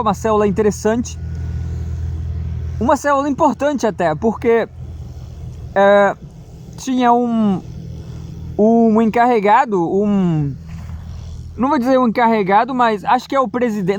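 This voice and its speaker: A man's voice: high-pitched, slight background noise. A man, rambling through a solo political monologue, full of hesitations and restarts. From Brazil. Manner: hesitant, many fillers and restarts. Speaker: political commentator.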